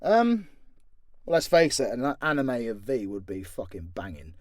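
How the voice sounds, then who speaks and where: A man's voice: medium pitch, big pitch swings, moderate pace, darkish tone, dry recording, clean studio sound. A man chatting casually and off the cuff as an audio creator answering questions, blunt, informal and sweary. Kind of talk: casual, unscripted Q&A chat.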